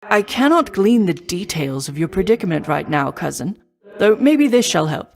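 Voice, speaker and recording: A woman playing a noble, protective war goddess in a video game, speaking in formal, measured, old-fashioned phrasing. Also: on the low side, sing-song, creaky. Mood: composed, encouraging. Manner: formal, measured, archaic stately diction. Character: noble female war goddess, poised, protective, confident mentor. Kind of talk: scripted video game voice acting.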